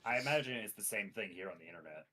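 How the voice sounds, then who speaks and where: A man's voice: fairly low pitch, lively intonation, very fast, sharp tone. A man in his late twenties chatting casually, adding dry, wry jokes while helping a friend write a campaign. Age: 20s. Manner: dry, wry joking asides. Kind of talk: casual chat.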